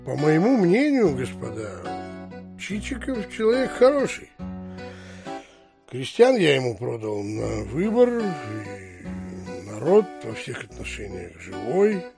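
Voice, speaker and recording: An actor playing a blunt, stolid, self-justifying landowner in an audio play, speaking with weighty emphasis. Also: male voice, medium pitch, big pitch swings, slow, vocal fry, music in the background, heavily acted. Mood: stolid, defensive.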